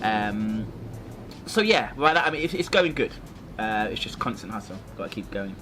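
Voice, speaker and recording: A young man from London who grew up in Norwich, chatting casually as a guest, jokey and animated with frequent laughs. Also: on the low side, moderate pace, over music. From London and Norwich, England. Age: young adult. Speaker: actor and social media creator, guest. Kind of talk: casual chat.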